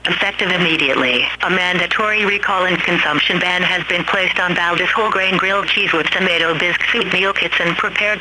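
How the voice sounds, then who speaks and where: Emergency alert announcer over heavy noise. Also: medium pitch. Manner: formal, impersonal, clipped headings.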